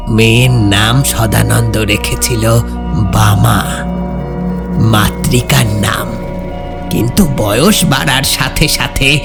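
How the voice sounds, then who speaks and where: Male narrator for village ghost tales; gravelly fry, animated theatrical intonation, suspenseful pauses. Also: mid-range voice. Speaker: horror story narrator. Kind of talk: dramatic scripted narration of a folk ghost story. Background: background music.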